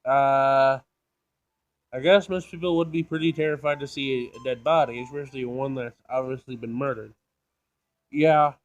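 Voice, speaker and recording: A man's voice: mid-range voice, steady tone, moderate pace, highs cut off. A man hosting a casual let's play, reading a visual novel aloud and reacting in informal, slangy speech. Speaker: gaming video host.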